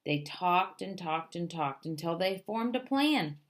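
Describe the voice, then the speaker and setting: A woman reading a children's picture book aloud in an expressive storytelling way. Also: fairly low pitch, a little slow.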